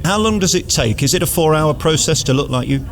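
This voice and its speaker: A man's voice: on the high side, animated, brisk, over music. A man doing a chatty promotional interview as interviewer, effusive and teasing.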